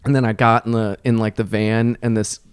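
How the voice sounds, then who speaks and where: A man's voice: lowish voice, little pitch movement, a little fast, smooth, no echo, clean studio sound. A man, a comedian guest, telling rambling stories on a podcast and laughing through them. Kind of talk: casual podcast storytelling.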